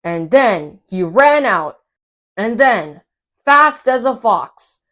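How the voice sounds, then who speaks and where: Lively, slow-paced woman storyteller. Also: on the high side. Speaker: storyteller for children. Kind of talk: animated storybook read-aloud.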